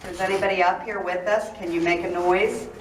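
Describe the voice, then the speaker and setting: A woman's voice: lowish voice, steady tone, fairly quick. Appalachian woman guiding a tour, echoey and distant. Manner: projecting loudly to a group, storytelling. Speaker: tour guide. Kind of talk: guided tour talk to a group. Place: large empty rooms of an old prison at night. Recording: echoey rooms, distant and noisy.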